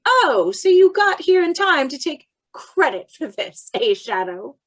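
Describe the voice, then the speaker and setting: A woman acts a police commissioner in a radio play. The voice is high, with a dry, matter-of-fact delivery. The commissioner comes across as resigned and a bit sardonic. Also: big pitch swings, a little slow. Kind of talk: old-time radio drama acting.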